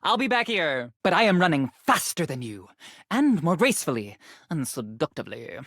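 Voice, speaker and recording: A woman's voice: sits low, big pitch swings, moderate pace, fairly bright tone, no echo, clean studio sound, heavily acted. A woman voicing a vain, snarky young female influencer character in a video game, self-absorbed and full of social media speak. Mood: bratty, sarcastic. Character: vain sassy young female influencer lamp, fame-obsessed.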